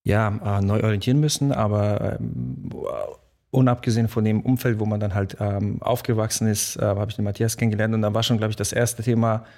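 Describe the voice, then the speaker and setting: A man's voice: on the low side, steady tone, a little fast, a little mellow. A man born in Poland, a guest musician in a studio podcast, talking casually and hesitantly with many fillers. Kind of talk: casual interview talk.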